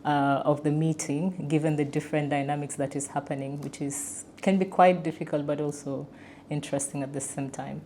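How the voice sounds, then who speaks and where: Female discussion panelist, deep for a woman, reflective, halting delivery, slightly noisy indoor recording. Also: fairly even intonation, dark tone. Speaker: podcast panelist, peace facilitator. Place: indoor room around a wooden table. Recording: clear background noise, room ambience.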